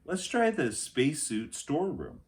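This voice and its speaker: A man's voice: a little high, wildly swooping pitch, normal speed, pitched above the performer's own voice. A man voicing a cautious, bookish young boy explorer in a children's storybook, given to careful warnings.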